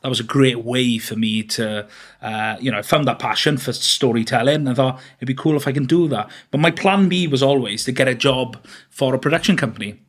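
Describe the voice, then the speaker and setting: A man in his thirties, speaking at an ordinary middle pitch for a man. He talks as an interview guest, chatty and rambling, full of fillers and restarts as he tells his own story. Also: brisk. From Wales. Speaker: podcast guest.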